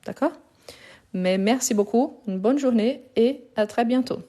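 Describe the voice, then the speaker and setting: Mellow-voiced woman teaching French. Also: fairly high pitch, unhurried. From France and Brazil. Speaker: French teacher hosting a live class. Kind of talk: informal livestream lesson talk.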